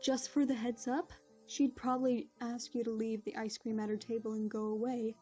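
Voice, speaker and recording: A woman plays a wise royal mare with a mellow, fairly high voice. She speaks calmly and kindly. The audio is dull and lo-fi, with music underneath. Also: normal speed, lightly acted. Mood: gentle. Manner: calm, motherly. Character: wise, gentle royal mare ruler of ponies.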